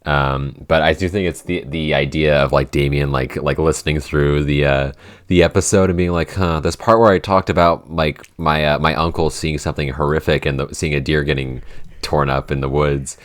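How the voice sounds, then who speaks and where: A man's voice: extremely low pitch, steady tone, moderate pace, no echo, clean studio sound. A man talking casually as a podcast writer and co-host, reading out listener questions and chatting about the show.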